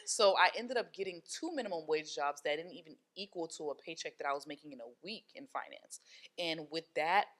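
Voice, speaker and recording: A woman's voice: low-pitched, animated, a little fast, fairly bright tone. A young adult woman from Haiti, a hair studio owner, talking casually in an interview with many fillers and restarts.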